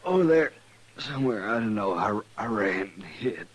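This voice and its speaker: An actor playing a frightened, badly wounded gunman in an American radio western, halting and strained. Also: on the low side, very animated, slightly rough. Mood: pained, frightened. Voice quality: weak, strained. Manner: halting, stammering, rustic. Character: badly wounded frightened Old West hired gunman, fading. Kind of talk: 1950s radio western acting. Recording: noisy 1950s radio recording.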